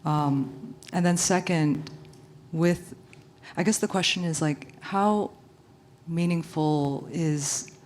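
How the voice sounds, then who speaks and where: A woman's voice: low-pitched, slow, darkish tone, slight background noise. A woman in the audience asking a panel a question, polite and hesitant, thinking aloud.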